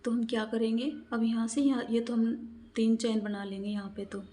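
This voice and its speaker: A woman's voice: a little high, flat delivery, normal speed, warm tone. A woman narrating a step-by-step craft tutorial, explaining in a repetitive, instructional way and counting aloud.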